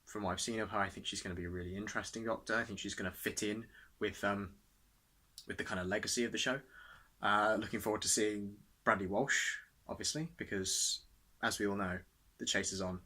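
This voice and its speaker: Bright, forceful young man vlogging. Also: fairly low pitch, fairly even intonation, a little fast. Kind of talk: casual vlog chat.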